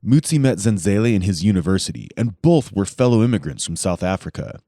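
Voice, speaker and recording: Male true-crime narrator, mellow low tone, dry close mic, measured and dramatic. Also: animated, no echo. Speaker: documentary narrator.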